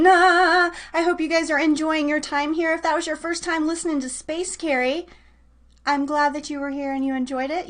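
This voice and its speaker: High-voiced, playful woman hosting. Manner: playful, chatty, with mock-dramatic asides. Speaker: radio show and podcast host. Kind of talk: casual live chat with listeners.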